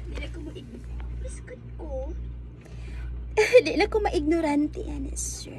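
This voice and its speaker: High-pitched, giggly, naive young nurse. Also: female voice, animated, a little mellow, heavily acted. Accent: Cebuano Filipino. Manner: coy, giggly, drawn-out exclamations. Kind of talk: Bisaya comedy radio drama acting.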